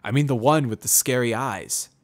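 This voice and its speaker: Obsessive, withdrawn pony prisoner for a dark fantasy story, terse and intense played by a male reader. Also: medium pitch, moderate pace, pitched above the performer's own voice, lightly acted. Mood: harsh, curt.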